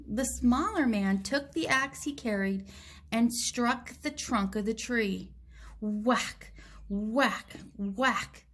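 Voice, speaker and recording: A woman reading a picture book aloud to children, with gentle, simple explanations along the way. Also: on the high side, takes it slowly. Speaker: children's story reader.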